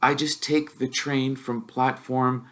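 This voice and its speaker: A man voicing a timid, polite young boy in a storybook, in a tentative, soft, hesitant way. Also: medium pitch, little pitch movement, slow pace, voice pushed up. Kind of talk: storybook character voice.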